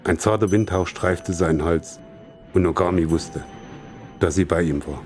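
A man's voice: low-pitched, moderate pace, darkish tone, a little hiss or hum. A man reading a literary short story aloud as scripted narration, in German. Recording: music behind. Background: music.

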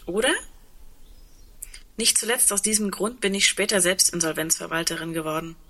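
An actress playing a wry, resigned, reflective woman in a radio drama, with a husky voice and dry humor. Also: on the low side, moderate pace, bright, forceful tone. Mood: dryly amused. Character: tall, wry, resigned woman insolvency administrator facing doomsday. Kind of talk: radio drama dialogue acting.

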